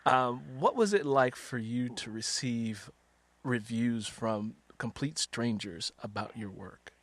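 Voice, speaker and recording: A man's voice: mid-range voice, takes it slowly. A man hosting a conversational book interview as a fellow author, speaking in an effusive, encouraging way.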